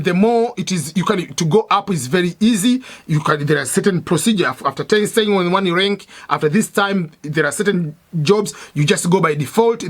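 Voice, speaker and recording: Man, native Swahili speaker, high voice, veteran giving advice, halting, repetitive, lots of fillers. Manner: steady, repetitive explaining with many fillers and restarts. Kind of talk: explanatory talk to immigrants.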